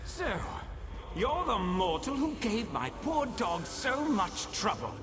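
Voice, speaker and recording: A haughty demon prince from a video game, high-voiced and swooping through his phrases. He is theatrical and condescending, savoring his menace with mock politeness. A clear ambient rumble sits behind him. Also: sing-song, slow, fairly bright tone, heavily acted. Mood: smug. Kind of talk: video game villain acting.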